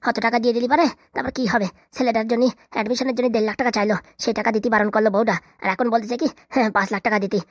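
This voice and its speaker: A man's voice: very high voice, level tone, racing, dry recording, clean studio sound. A man voicing a troubled, remorseful married villager who drinks, grumbling and scolding himself in a comedy cartoon. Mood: worried, grumbling. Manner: grumbling, self-scolding, rambling. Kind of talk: comedy cartoon dialect acting.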